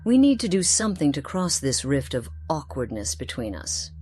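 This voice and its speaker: An actress voicing a long-lived, lonely woman in a fantasy game, thoughtful, courteous and formally eloquent in a measured way. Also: low-pitched, very animated, mellow. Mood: coy, probing. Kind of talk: scripted fantasy game dialogue.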